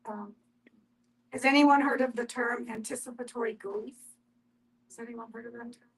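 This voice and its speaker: A woman speaks in a somewhat high-pitched voice as the facilitator of a group discussion. She sounds conversational, asking questions and drawing others in to answer. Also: steady tone, moderate pace, vocal fry, rough recording. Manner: questioning, inviting responses.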